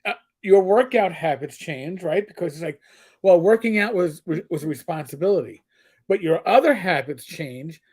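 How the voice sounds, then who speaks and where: A man's voice: high-pitched, expressive, moderate pace, a little mellow, breathy edge. A middle-aged man with a strong New York accent chats casually on a livestream. He rambles, stops and starts, and fills the gaps with "um" and "uh" as he thinks aloud. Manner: rambling, stop-start with many fillers and restarts. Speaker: podcast co-host.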